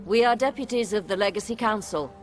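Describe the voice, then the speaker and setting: Woman as assured envoy over loud music. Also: medium pitch, a little fast, close to an everyday voice. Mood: formal, assured. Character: proud, deferential adventurer envoy of a council. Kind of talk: video game cutscene acting. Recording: loud game music behind the voice. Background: loud game music.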